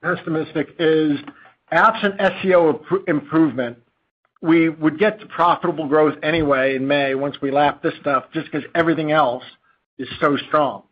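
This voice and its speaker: A man speaking as a company chief executive on an earnings call, unscripted and informal, with a halting, digressive delivery. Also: a little high, steady tone. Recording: dull lo-fi conference call audio.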